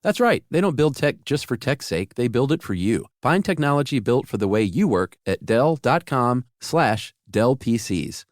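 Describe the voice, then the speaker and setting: Guy doing an ad read, really lively up and down pitch, dry studio sound. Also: normal speed, few pauses, dry recording. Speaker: advertisement announcer. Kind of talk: scripted ad read.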